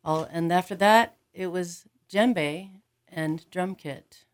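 A woman's voice: on the low side, takes it slowly. A woman music teacher, a guest talking casually and enthusiastically about her instruments. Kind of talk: casual interview talk.

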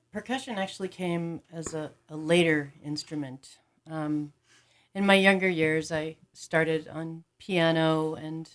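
A woman music teacher, a guest talking casually and enthusiastically about her instruments. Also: low-pitched. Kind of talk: casual interview talk.

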